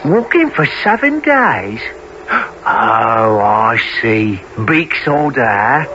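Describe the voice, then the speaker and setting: A man's voice: mid-range voice, sing-song, takes it slowly, bright, forceful tone, faint music behind, heavily acted. A man playing the Artful Dodger, a cocky, friendly teenage London pickpocket who talks in swaggering slang, in a radio play. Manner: slangy, swaggering, mock-genteel. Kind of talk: family radio play acting. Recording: dull lo-fi with faint noise.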